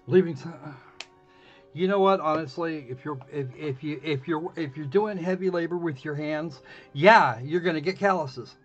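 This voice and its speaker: A man's voice: a little high, moderate pace. A man streaming a visual novel, chatting casually and sarcastically, often restarting his sentences. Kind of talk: casual livestream commentary. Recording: dull lo-fi stream mic with game music behind. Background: faint game music.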